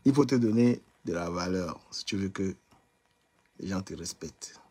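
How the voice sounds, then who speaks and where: A man's voice: low-pitched, expressive, moderate pace, mellow. A man commenting bluntly and mockingly on online gossip during a live stream.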